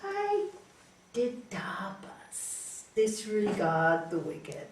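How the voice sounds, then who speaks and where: A woman's voice: medium pitch, huge pitch swings, very slow, darkish tone, roomy sound, distant mic, a little hiss or hum, heavily acted. A woman voicing a timid, peace-loving male disciple in a parable, with a meek and pious manner. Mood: piously pleased.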